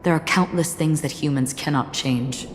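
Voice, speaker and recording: An actress playing a stoic, philosophical swordswoman in a video game cutscene, speaking in a grave, measured, formal way. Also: sits low, noisy background, lightly acted. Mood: gentle, philosophical. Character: solemn, stoic female swordswoman, cryptic and philosophical. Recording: long reverb, music behind the voice.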